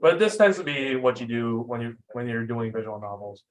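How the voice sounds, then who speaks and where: A man's voice: medium pitch, animated, a little fast, poor audio. Young adult male student presenter, informal and self-deprecating, tired from lack of sleep, rambling through a lecture. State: sleep-deprived.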